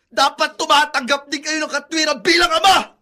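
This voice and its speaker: High-voiced, defiant young son. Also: male voice, fairly even intonation, normal speed, bright, forceful tone, heavily acted. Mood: impassioned protest. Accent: Filipino. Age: young adult. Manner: impassioned, pleading. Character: defiant, anguished grown son of a soldier. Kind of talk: radio drama acting.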